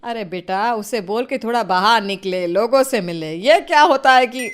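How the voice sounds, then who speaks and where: A woman's voice: a little high, moderate pace, lightly acted. A woman playing an exasperated, dramatic middle-aged mother-in-law who nags and scolds in an acted moral story. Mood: nagging. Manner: nagging, theatrical.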